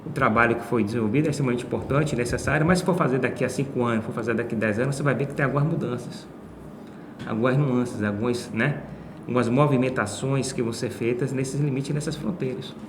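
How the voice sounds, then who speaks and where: A man's voice: medium pitch, fast talker. A man speaking as a guest professor, explaining in an academic but conversational way with emphatic short phrases. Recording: echoey room with background noise.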